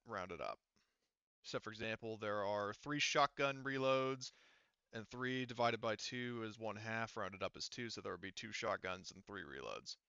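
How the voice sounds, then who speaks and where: A man with a forceful, clear voice talks players through a tabletop roleplaying session as game master. He explains in a casual, sweary way. The sound is very dry and slightly dull, as from a close mic. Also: mid-range voice, no echo.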